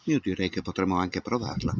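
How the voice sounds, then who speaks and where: A man's voice: deep voice, fairly quick, a little hiss or hum. A man in his fifties, an amateur radio operator, giving a casual hands-on demonstration and muttering step by step as he works outdoors. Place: open grassy field outdoors. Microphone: camera at about arm's length.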